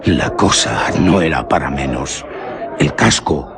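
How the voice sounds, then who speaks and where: A male storyteller reads scripted suspense narration for radio. His voice is low, and his delivery is solemn and deliberate. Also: lively intonation, gravelly, crowd noise.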